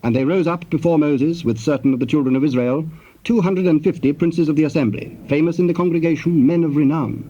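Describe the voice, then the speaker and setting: A man narrating dramatized scripture in a formal, measured storytelling manner. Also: fairly high pitch, warm tone. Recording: muffled, faintly hissy tape.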